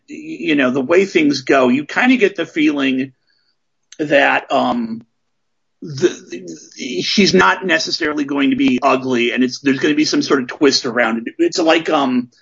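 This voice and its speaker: A man's voice: on the high side, vocal fry, a bit bright, husky, highs cut off. A man in his forties talking casually on a podcast, rambling with lots of ums and restarts. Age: 40s. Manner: rambling, many fillers and restarts.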